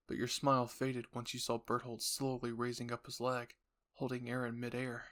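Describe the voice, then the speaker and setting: A man's voice: mid-range voice, completely flat delivery, moderate pace, fairly bright tone. A man reading scripted second-person story narration for a roleplay. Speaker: narrator and voice actor.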